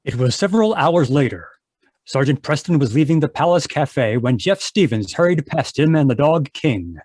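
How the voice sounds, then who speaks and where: A man's voice: fairly high pitch, lively intonation, normal speed, a little mellow, slightly rough, no echo. A man narrating an old-time radio adventure as a dramatic storyteller.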